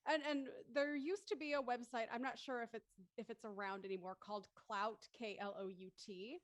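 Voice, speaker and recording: Woman, high voice, panel moderator, casual explanatory talk. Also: fairly even intonation, moderate pace. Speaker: moderator of a post-show discussion.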